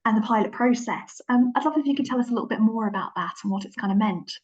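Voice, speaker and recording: A woman's voice: fairly high pitch, fast talker, darkish tone, muffled recording. A woman hosting a webinar, introducing speakers with friendly fillers. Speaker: webinar host. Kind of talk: moderating a webinar.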